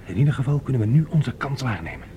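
A man's voice: medium pitch, sing-song, quick pace, warm tone, close to an everyday voice. An actor playing a dazed, aching but determined man in a science fiction radio drama, hesitant and urgent. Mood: impatient, determined. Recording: clearly noisy radio play recording.

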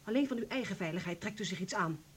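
A woman's voice: medium pitch, expressive, fast talker, slightly rough. A woman acting in a Dutch radio play as an impassioned, insistent nurse who accuses and reproaches. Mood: scornful reproach.

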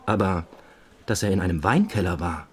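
A man playing a police investigator in an audio drama, reporting in a factual, measured, dry-witted manner. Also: sits low, darkish tone, husky. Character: matter-of-fact male police investigator giving a case report. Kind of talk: German audio drama dialogue.

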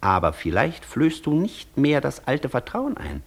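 An actor playing an intense, persuasive professor in a German radio play, declaiming and lecturing insistently with a husky voice. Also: male voice, medium pitch, huge pitch swings, measured pace, full character voice. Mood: urgent, provoking. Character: intense, persuasive male professor tempting the Devil. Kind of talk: German radio play acting, long rhetorical speeches.

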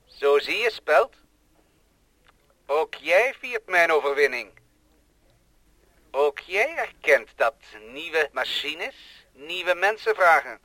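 An actor playing a cold, boastful thinking machine that scorns humans, in solemn declamatory radio play style. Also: male voice, a little high, sing-song, slow, pauses often, pushed and bright, no room sound, heavily acted. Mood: contemptuous, triumphant. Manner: solemn, pompous, rhetorical.

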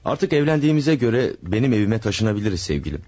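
An actor playing a farmer husband who hides a murder and calmly soothes his new wife in a radio play. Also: on the low side, fast, highs cut off, music in the background. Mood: soothing. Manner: soothing, reassuring, smooth-talking. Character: scheming farmer husband, secretly a killer. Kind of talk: classic radio drama acting.

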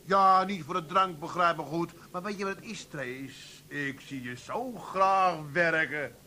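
A man's voice: a little high, a little slow, close to an everyday voice. A man playing a blunt, street-wise Amsterdam husband and pub regular in a comic radio play. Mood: pleased. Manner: colloquial, blunt. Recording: 1980s radio play with faint noise.

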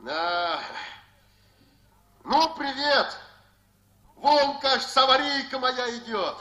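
A man's voice: extremely high pitch, expressive, slow pace, sharp tone, full character voice. An actor playing a chatty, folksy telephone repairman in a 1960s Soviet radio play, chuckling and rambling. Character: chatty male repairman phoning from a cold forest road.

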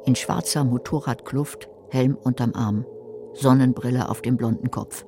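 A woman's voice: extremely low pitch, steady tone, normal speed, over music. An actress playing a wary, dry female narrator in a radio crime drama. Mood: sardonic.

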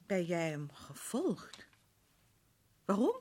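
A guarded, wounded wife. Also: female voice, on the low side, huge pitch swings, slow, heavily acted. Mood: hushed. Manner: guarded, defensive, often hushed. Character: anxious wife of a disgraced archaeologist. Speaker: radio play actress. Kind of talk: 1960s radio drama acting.

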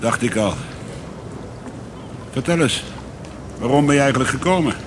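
An actor playing a controlled, middle-aged police commissioner in a radio play, with terse, measured questions. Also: male voice, medium pitch, expressive, background noise, lightly acted. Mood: probing, controlled.

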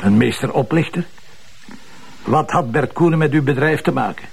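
Flemish police boss in a crime show, raspy creaky voice, smug n mocking, dry questions. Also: male voice, medium pitch, normal speed. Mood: probing, pressing. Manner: mocking, probing, dryly ironic. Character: sardonic, self-assured male police commissioner, needling a suspect. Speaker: actor in a TV crime drama. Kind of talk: naturalistic screen acting in Flemish crime drama.

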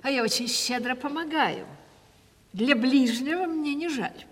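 Husky, high-voiced, capricious gentry lady. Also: unhurried. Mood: coy, defensive. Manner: theatrical, affected, effusive. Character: aging provincial gentry lady, self-justifying, gracious, capricious. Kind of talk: classic Russian stage comedy radio acting. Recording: 1970s radio play, reverberant hall.

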